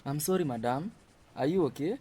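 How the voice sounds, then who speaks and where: A man's voice: a little high, lively intonation, a little fast, warm tone, natural voice. A man playing a polite, deferential office technician in a radio drama, speaking courteously. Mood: polite, hesitant. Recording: clear background noise.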